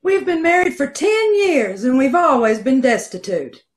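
A woman's voice: sits high, sing-song, moderate pace. A woman playing a destitute, long-suffering wife who complains plaintively in an old-time radio comedy.

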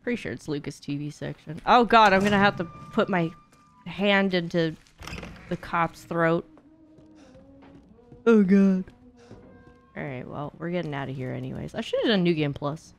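A woman's voice: fairly low pitch, moderate pace, pauses often, faint music behind. A young woman giving casual, playful live commentary while streaming a video game, with mock exasperation. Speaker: streamer, speedrunner.

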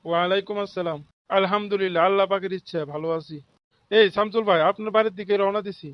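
Man acting bribe-taking cartoon official, high-pitched, fast-talking, sanctimonious, Bangladeshi regional accent, self-righteous. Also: fairly even intonation, no room sound, dull audio, natural voice. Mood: pious, friendly. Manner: sanctimonious, peppers talk with pious phrases. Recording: loud music behind the voice. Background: loud music.

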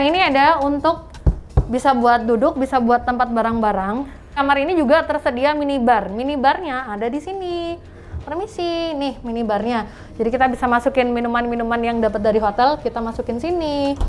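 High-voiced chatty Indonesian woman. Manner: chatty, enthusiastic, addresses viewers directly. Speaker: travel vlogger. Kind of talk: hotel room tour. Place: indoors, hotel room.

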